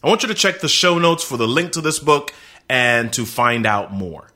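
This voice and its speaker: A man's voice: mid-range voice, big pitch swings, normal speed, sharp tone. A man hosting a podcast, upbeat and emphatic, speaking straight to his listeners. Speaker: podcast host.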